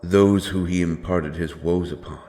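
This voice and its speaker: Man acting a deep, slow, grim wandering avenger. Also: steady tone, dark tone, slight background noise. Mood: tense, demanding. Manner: brooding, solemn, halting delivery with weighty pauses. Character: grim, doomed, godless wandering avenger of dark fantasy. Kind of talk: dramatic dark fantasy audio roleplay acting.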